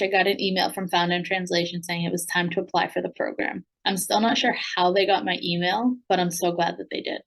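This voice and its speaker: An American woman, a program alumna and language coach, reading a prepared speech in an earnest, steady way. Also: on the low side, low-quality recording. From United States.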